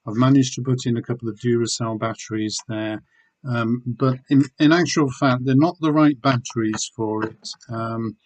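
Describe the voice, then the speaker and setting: A middle-aged man with a slightly hoarse, throaty voice, chatting about things he is selling. He pitches his voice in an ordinary middle register and keeps circling back with ums and restarts, in an unhurried home-video way. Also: fairly even intonation. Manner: rambling, with many ums and restarts. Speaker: eBay seller reviewing weekly sales. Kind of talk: casual video chat showing items.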